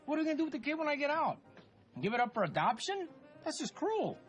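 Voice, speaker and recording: Casting: glib, whiny crooked businessman in a comedy film, very lively pitch, music underneath. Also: fast talker, lo-fi sound. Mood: glib, dismissive. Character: selfish, evasive crooked businessman facing prison. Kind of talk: live-action comedy film acting.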